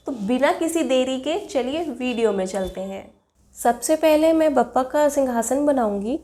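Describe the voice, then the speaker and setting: Hoarse woman narrating a craft tutorial. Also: a little high, a little mellow. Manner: chatty, explains step by step with fillers. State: hoarse from a cold. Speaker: craft video maker.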